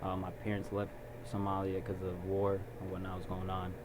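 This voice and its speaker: A young man being interviewed about his video production company, talking in a relaxed, rambling way with lots of ums. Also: low voice, moderate pace. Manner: relaxed storyteller, many ums and restarts. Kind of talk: casual interview. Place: small recording studio. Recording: noisy background.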